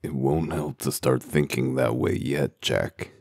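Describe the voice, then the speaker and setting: A man playing a gruff wolf detective, grim and steady, speaking in a measured, matter-of-fact way for a comic dub. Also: a bass voice, no echo, clean studio sound, heavily acted. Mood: grim, calm.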